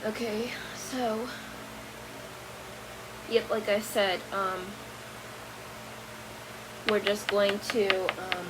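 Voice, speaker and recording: A woman's voice: medium pitch, steady tone, extremely slow, long pauses, background noise. A woman hosting a casual podcast, introducing a video in a loose, rambling way with frequent ums. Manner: rambling with many ums, easygoing. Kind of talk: casual chat.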